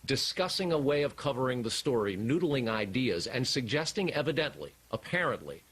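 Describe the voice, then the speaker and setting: A man with an American accent reporting live as a television news correspondent, deliberate and emphatic. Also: mid-range voice, sing-song, fairly bright tone, breathy edge, rough recording.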